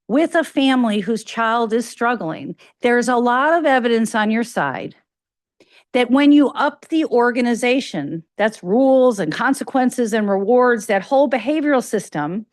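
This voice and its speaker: A woman's voice: fairly high pitch, no echo, clean studio sound. A woman with a slight Chicago accent giving an academic grand rounds lecture, talking conversationally with fillers and restarts. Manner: conversational, self-correcting, with frequent fillers.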